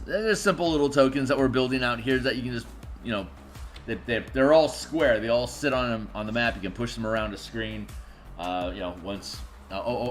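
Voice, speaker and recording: Male streamer, raspy and sleepy, casual rambling chat with lots of fillers, average pitch. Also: a bit bright. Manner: rambling, many fillers, mutters to himself while working. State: tired, just woke up. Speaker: streamer making game art. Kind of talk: casual live-stream chat while working.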